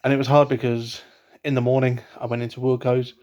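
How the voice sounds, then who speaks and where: A man's voice: medium pitch, level tone, a little fast, a little mellow. A young man from England talking at home in a casual vlog, hesitant, with many ums and restarts. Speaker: vlogger. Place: at home, indoors. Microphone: laptop webcam.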